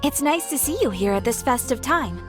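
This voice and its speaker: A woman's voice: high voice, lively intonation, a little fast, over music. A woman voicing a courteous, composed guild receptionist in a video game, speaking politely and formally with a lilt. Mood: courteous, cheerful.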